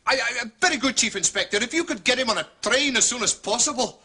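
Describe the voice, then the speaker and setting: An actor playing a brisk, deferential police inspector, businesslike in a British detective drama. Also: male voice, extremely high pitch, animated, sharp tone, husky, exaggerated voice.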